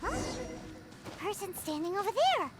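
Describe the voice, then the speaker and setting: A woman voicing a tiny, excitable, childlike companion creature in an anime video game, chirpy and full of exclamations. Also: very high-pitched, moderate pace, loud music behind the voice. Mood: excited. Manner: chirpy, exclamatory, speaks of self in third person.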